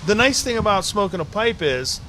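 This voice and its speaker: A man's voice: high voice, big pitch swings, brisk, sharp tone, a little hiss or hum, poor audio. A man co-hosting a casual chat show, giving easygoing, rambling explanations. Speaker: podcast co-host. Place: bar, live show.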